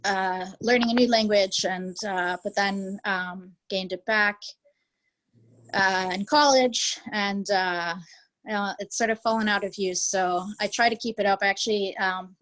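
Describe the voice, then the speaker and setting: A woman's voice: medium pitch, fairly even intonation, measured pace, fairly bright tone, poor audio. Hesitant American woman on a call. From United States. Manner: hesitant, many ums and restarts. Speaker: video call participant. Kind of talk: casual conversational reminiscing.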